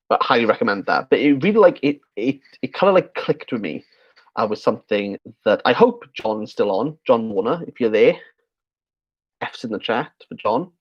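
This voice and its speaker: Tipsy, rambling bookish man on stream. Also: lowish voice. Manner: rambling, chatty, many fillers and restarts. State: a little tipsy. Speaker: book channel host. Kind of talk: casual livestream Q&A. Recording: slightly dull lo-fi stream audio.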